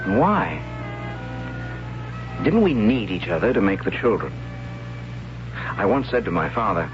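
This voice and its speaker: Earnest young tribesman, low-voiced, old radio. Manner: earnest, hesitant, pleading. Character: gentle, questioning young tribesman who refuses to kill. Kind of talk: 1970s radio drama acting, narrating and in character. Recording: dull lo-fi 1970s radio recording.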